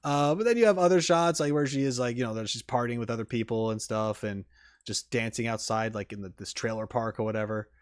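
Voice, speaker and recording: A man's voice: medium pitch, clean tone, no room sound, clean studio sound. A man hosting a reaction video with casual, rambling commentary, often breaking off mid-thought and restarting his sentences. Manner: halting, self-interrupting, trailing off mid-thought; casual swearing.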